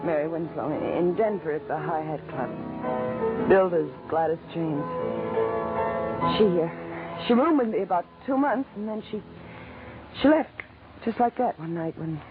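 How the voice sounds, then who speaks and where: A woman's voice: deep voice, normal speed, over loud music, lightly acted. Actress as earnest young woman, tinny radio drama. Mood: earnest, uneasy. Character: frightened but defiant young woman on the run. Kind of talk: old-time radio crime drama acting. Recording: tinny, noisy vintage radio recording.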